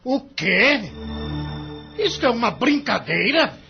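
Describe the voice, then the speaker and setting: An actor playing a greedy, scornful, hot-tempered law-firm boss with a Brazilian accent in an audio drama. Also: male voice, very high-pitched, sing-song, slow, creaky, exaggerated voice. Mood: outraged. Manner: mocking, sarcastic, blustering. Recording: dull lo-fi audio, music underneath.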